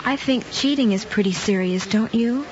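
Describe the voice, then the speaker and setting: A woman's voice: fairly high pitch, normal speed, darkish tone, natural voice. A young woman playing an honest, earnest schoolgirl in a mid-century screen drama. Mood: earnest, confronting. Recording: muffled old film soundtrack, faint noise.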